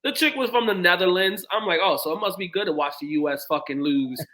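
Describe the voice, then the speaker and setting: A man's voice: high voice, fairly quick, pushed and bright. A man co-hosting a casual sports podcast, laid-back and rambling, with the odd swear. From United States. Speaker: podcast co-host.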